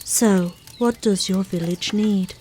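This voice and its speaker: A woman playing a calm, wistful fate spirit curious about mortals, with measured delivery in an audio drama. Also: mid-range voice, a little hiss or hum, natural voice. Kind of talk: scripted audio drama dialogue.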